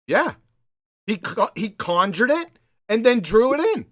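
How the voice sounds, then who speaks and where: A man hosts a live commentary show in a mocking, emphatic way. His pitch swings widely and he repeats short phrases for punch. The sound is close and dry. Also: big pitch swings, a little slow, fairly bright tone, dry recording. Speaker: host of a live debunking show.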